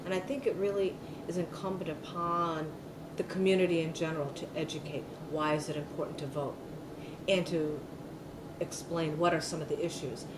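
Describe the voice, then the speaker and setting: A woman's voice: low voice, steady tone, moderate pace. A middle-aged woman giving an oral history interview, talking loosely with many fillers and restarts. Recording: echoey room, mic at a distance, noisy.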